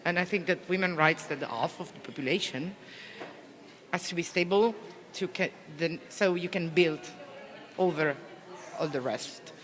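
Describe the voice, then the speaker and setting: A woman police officer from Italy with an Italian accent, speaking English haltingly with many restarts as she searches for words. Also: on the low side, in a large hall. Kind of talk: interview answers, conference delegate.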